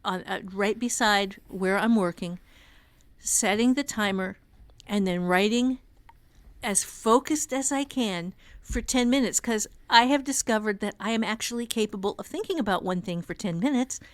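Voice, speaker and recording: A woman's voice: medium pitch, moderate pace, no room sound. A woman co-hosting a podcast, chatting casually in a candid, emphatic, self-deprecating way. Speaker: author and teacher, podcast co-host. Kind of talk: casual chat.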